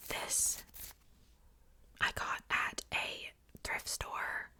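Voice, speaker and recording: A woman whispers in a very bright, crisp-edged hush. Her delivery is casual ASMR, informal and breathy, like showing things to a friend. The voice stays fully whispered throughout. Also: very slow. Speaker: ASMR video creator.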